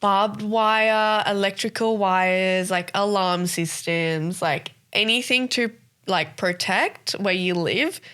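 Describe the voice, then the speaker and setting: A woman's voice: medium pitch, slow, a bit bright, clear, resonant tone. A woman in her twenties with an Australian accent, from South Africa, Afrikaans her first language, chatting casually as a podcast host and filling her talk with "like". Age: 20s. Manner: chatty, many "like" fillers. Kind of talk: casual chat.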